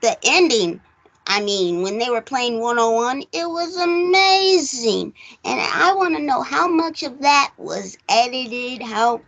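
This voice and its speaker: A woman's voice: sits high, gravelly, fairly bright tone. An American woman hosting a casual movie chat, rambling and hedging as she goes, with frequent filler phrases. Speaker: podcast host.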